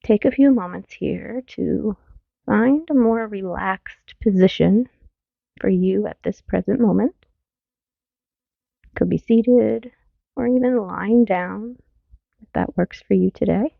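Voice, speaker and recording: Woman, meditation guide, soothing and gentle, strong gravelly creak, long pauses, phone-like narrow audio, very dry sound. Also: medium pitch, no room sound. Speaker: mindfulness teacher. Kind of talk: guided meditation, body scan.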